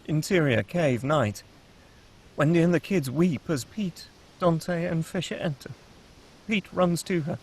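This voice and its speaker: Male narrator for screenplay table read. Slightly high pitch, husky tone, scripted action delivery. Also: a little slow.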